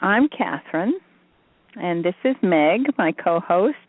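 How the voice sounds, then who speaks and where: A woman hosting a spiritual talk show, introducing herself and her co-host in a relaxed, plain way. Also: lowish voice, a little slow, no echo. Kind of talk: casual chat on a call-in show. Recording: dry tinny phone line.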